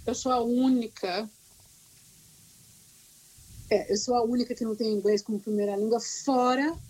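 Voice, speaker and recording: A woman talks casually about her work as a teacher. Her voice is a little husky and sits on the higher side. She tells stories in an animated, self-mocking way, often stopping to restart a sentence. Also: normal speed, poor audio. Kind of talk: casual conversation.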